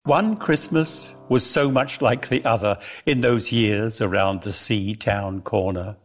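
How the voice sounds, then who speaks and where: A man giving a dramatic reading of a literary story in a measured, theatrical way, lingering on his words. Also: fairly low pitch, faint music behind. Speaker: reader.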